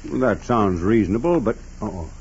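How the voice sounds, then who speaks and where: An actor playing a questioning judge in a 1940s radio drama, formal in manner and easily startled. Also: male voice, fairly low pitch, animated, brisk, a little mellow. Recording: noisy, muffled 1940s radio recording.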